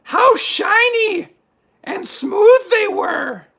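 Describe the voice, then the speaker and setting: Super high croaky spooky puppet storyteller, excitable, dramatic comedy bedtime story reading, gets sidetracked easy. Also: female voice, heavily acted. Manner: exclamatory, drawn-out dramatic delivery. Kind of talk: theatrical comic reading of a scary story.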